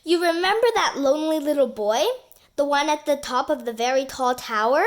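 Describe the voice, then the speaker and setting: A woman reading a children's book aloud in an expressive, storytelling manner. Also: high voice, a little fast. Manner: expressive, shifts into character voices for dialogue. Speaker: storybook reader.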